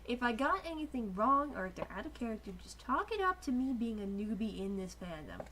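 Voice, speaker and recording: High-pitched woman reading aloud, slight noise. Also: very animated, brisk, a bit bright, poor audio. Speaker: narrator in an amateur fan fiction reading. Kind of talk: informal dramatic read-aloud. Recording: amateur close-mic recording with background noise.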